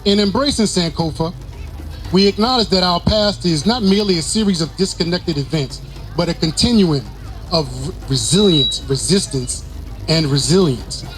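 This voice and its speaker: A man's voice: on the high side, expressive, moderate pace, a bit bright, soft background music, low-quality recording. A man delivering a formal scripted speech as an event speaker, oratorical but occasionally stumbling and repeating words.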